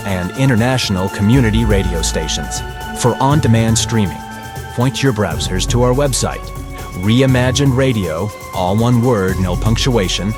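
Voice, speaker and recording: A man reading a scripted announcement as a radio announcer. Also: lowish voice. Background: music.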